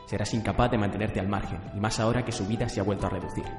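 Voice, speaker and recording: A man's voice: fairly low pitch, fairly even intonation, racing, a little mellow, faint music behind, lightly acted. A man playing a cunning, self-righteous young vigilante in a radio drama, controlled and insistent. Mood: scheming. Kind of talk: radio drama adaptation of anime.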